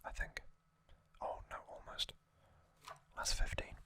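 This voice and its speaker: Slow, whispering male ASMR host. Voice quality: breathy unvoiced whisper. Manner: soft, unhurried, informal explaining. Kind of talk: whispered ASMR trivia storytelling.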